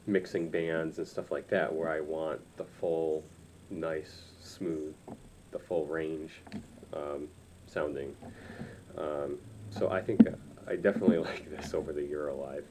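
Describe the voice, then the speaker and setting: A man in his twenties with a very low, dark and relaxed voice talks informally to camera as a reviewer. He rambles a little and feels his way through his points. The recording is made indoors with faint noise behind him. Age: 20s. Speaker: reviewer of audio gear. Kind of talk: casual review chat to camera. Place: small room indoors.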